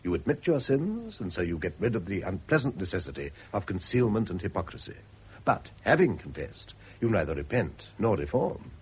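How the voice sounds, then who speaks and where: Male for urbane, ironic British husband in radio play; low voice, very mobile delivery, self-indulgent wit. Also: big pitch swings, normal speed, husky, full character voice. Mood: cynical, philosophising. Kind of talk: classic radio drama acting. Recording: muffled, noisy old radio recording.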